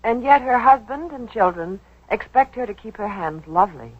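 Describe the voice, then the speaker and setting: A woman's voice: a little high, expressive, normal speed, breathy edge, no echo. A woman performing scripted 1940s radio advertising dialogue with an emphatic, knowing sales delivery. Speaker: commercial spokeswoman. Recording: dull 1940s radio broadcast recording.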